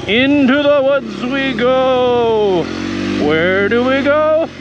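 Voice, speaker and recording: A young man in his twenties riding a dirt bike through snowy woods, talking excitedly to the camera and cheering himself on. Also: extremely high pitch, drawn-out words, lo-fi sound. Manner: hyped, exclaiming, cheering himself on. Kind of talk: excited ride commentary to camera. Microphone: helmet or action camera, then selfie camera at arm's length. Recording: loud engine and wind noise. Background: motorcycle engine.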